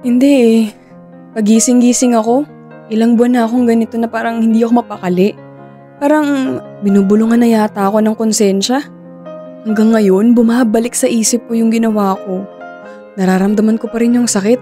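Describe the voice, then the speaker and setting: A woman's voice: fairly high pitch, fairly even intonation, brisk, pauses often, mellow, soft background music, lightly acted. An actress with a Filipino accent playing an anxious, guilt-ridden young woman in radio drama dialogue, fretful and pleading.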